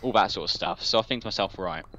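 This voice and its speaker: A man's voice: low-pitched, fast talker, bright, forceful tone, muffled recording. A young man, telling rambling, jokey stories in casual chat while gaming. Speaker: gaming video creator.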